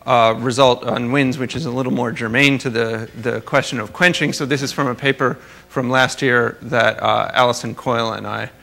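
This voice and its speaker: A man with a bright, forceful voice and frequent vocal fry, presenting research in an academic lecture. His pitch sits in an ordinary male range. He speaks haltingly, often rewording mid-sentence. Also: fairly even intonation. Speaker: astrophysics researcher presenting at a conference. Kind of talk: academic lecture talk with slides.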